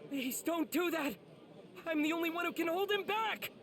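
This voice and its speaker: A man's voice: extremely high pitch, moderate pace, fairly bright tone, roomy sound, big-room sound. A man playing an earnest, anguished teenage boy demon slayer in an English video game. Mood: pleading. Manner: emotional, earnest.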